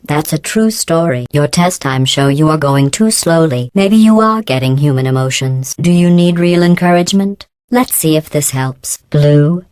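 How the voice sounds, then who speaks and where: Low, smooth, condescending robotic AI. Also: female voice, no room sound. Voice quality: synthetic, robotic cadence. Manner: deadpan, condescending, falsely polite sarcasm. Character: passive-aggressive female artificial intelligence running lab tests. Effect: robotic pitch-corrected voice processing. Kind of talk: scripted video game voice acting.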